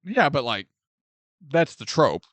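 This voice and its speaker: A man co-hosting a casual film review chat, animated and jokey, often slipping into mock voices. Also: on the high side, slow, no room sound. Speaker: podcast co-host.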